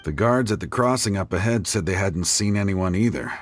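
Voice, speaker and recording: A dutiful soldier, played by a man, brisk and to the point in a video game. His voice is low. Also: slight background noise, close to an everyday voice.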